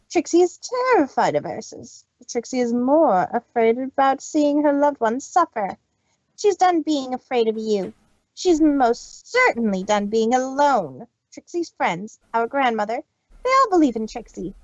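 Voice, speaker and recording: A woman's voice: sits high, sing-song, normal speed, darkish tone, no room sound. A woman voicing a boastful young mare magician who speaks of herself in the third person, in a theatrical manner. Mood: defiant. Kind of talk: fanfic reading voice acting. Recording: dull, lo-fi stream audio.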